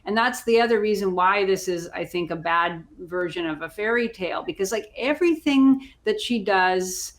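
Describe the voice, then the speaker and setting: A woman's voice: medium pitch, normal speed, hardly any pauses. A woman on a discussion panel giving her opinions conversationally, often repeating words as she thinks aloud.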